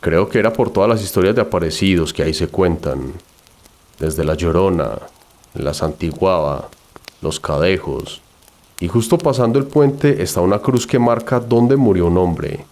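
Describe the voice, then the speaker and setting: A man with a low, deep voice tells a horror tale in the first person. He reads it as a scripted story, in the role of narrator. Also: animated, moderate pace, a little mellow.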